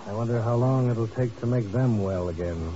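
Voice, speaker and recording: A man's voice: on the low side, lo-fi sound. A man playing a cool, brave, dryly impatient secret agent in a 1950s radio drama, on an old noisy recording.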